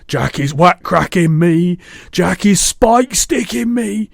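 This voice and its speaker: A man's voice: high-pitched, animated, slow pace, creaky, dry recording, clean studio sound, voice pushed up, exaggerated voice. A man voicing a huge brutish man-eating giant in a storybook, screeching and pleading in terror with broken grammar. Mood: panicked screaming. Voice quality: screechy, bellowing.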